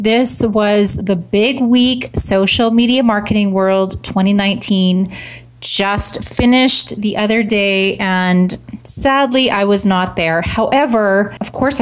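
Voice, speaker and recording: A woman hosting a social media marketing show, talking casually and emphatically, her voice slightly rough from cheering. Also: mid-range voice. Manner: upbeat, emphatic repetition. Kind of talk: casual solo talk to camera.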